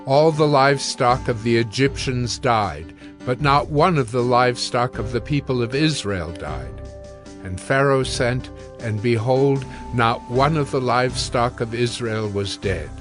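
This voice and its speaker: A man narrating scripture from a script in a solemn storytelling style. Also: medium pitch. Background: music.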